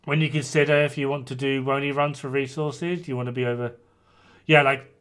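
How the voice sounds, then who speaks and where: A man's voice: medium pitch, steady tone, fairly quick, a bit bright, clean tone. A man, chatting casually while streaming a game, informal and blunt, with some swearing. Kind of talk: casual gameplay chat with other players. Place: indoors at a gaming PC.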